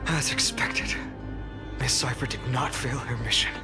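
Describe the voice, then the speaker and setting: A man playing a composed heroic leader in a video game, speaking in a grave, formal, measured way. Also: mid-range voice, lightly acted. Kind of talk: dramatic video game voice acting. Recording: long hall reverb with music.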